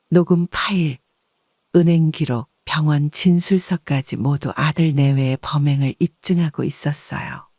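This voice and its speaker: A woman reading a first-person story as an audiobook narrator in a measured, composed way. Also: deep voice, a little mellow, dry recording, studio quality.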